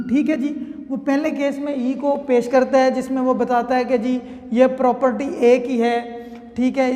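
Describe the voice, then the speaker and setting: Female lecturer, Pakistani accent, high voice, conversational step-by-step teaching, strong room echo. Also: mellow. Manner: conversational, often checking understanding with tag phrases. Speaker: law lecturer. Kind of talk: explanatory lesson with examples. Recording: echoey room with long reverb.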